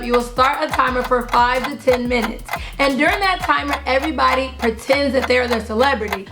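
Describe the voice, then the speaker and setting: A woman hosting an online video, explaining party games in a chatty, friendly way to viewers. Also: high-pitched. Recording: echoey room. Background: music in the background.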